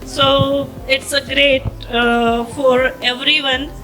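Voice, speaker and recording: A woman moderating a workshop panel, speaking haltingly and repeating words. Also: high-pitched, level tone, sharp tone, faint music behind, rough recording.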